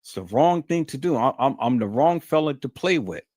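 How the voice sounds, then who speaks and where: A man's voice: medium pitch, animated, fairly quick, darkish tone, no echo. Stern lecturing man, dry sound. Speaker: livestream host. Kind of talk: unscripted livestream monologue.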